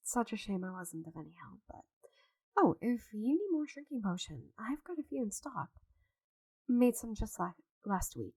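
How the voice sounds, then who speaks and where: A woman playing a friendly, chatty, slightly scatterbrained forest witch in an ASMR roleplay audio drama, with a gentle, theatrical manner. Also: on the high side, big pitch swings, normal speed, slightly rough, no echo, exaggerated voice, rough recording. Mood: nervous feigned innocence.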